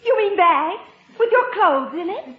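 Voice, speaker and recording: A woman's voice: extremely high pitch, expressive, measured pace, phone-quality sound. An actress playing a flustered, polite middle-aged housewife and mother in a 1950s radio sitcom, with an American accent and a hesitant, genteel manner. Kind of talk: 1950s radio sitcom acting before an audience. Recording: noisy, echoey old radio broadcast, audience.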